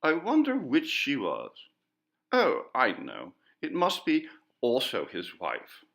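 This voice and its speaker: A man's voice: medium pitch, huge pitch swings, slow pace, vocal fry, fairly bright tone, voice pushed up, exaggerated voice. A man voicing a wry, uneasy, genteel lady in an audiobook ghost story. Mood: musing. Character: Lady Jane, uneasy, wry English gentlewoman. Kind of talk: audiobook character dialogue.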